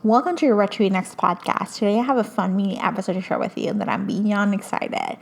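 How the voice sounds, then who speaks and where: A young woman from hosts a casual podcast, her Spanish. She talks eagerly, full of fillers and little restarts, at an ordinary mid pitch for a woman. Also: fast, faint music behind. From Puerto Rico. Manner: enthusiastic, chatty, many fillers. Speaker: podcast host. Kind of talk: casual book recommendations.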